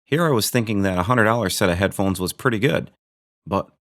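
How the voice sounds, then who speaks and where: A man's voice: on the low side, a little fast, fairly bright tone. A man hosting a gear review video, narrating from a script with dry, joking asides.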